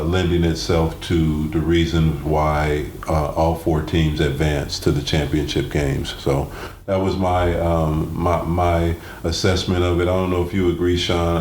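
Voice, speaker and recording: A man hosting a sports talk show, giving game analysis in a halting, deliberate way. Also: rumbling low, a little mellow. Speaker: sports radio host. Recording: roomy echo, clear background noise.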